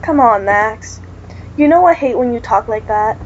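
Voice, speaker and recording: Woman voicing reassuring mother, patient, soothing, slightly high, dull recording. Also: animated, moderate pace, a little hiss or hum, natural voice. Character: calm reassuring mother figure.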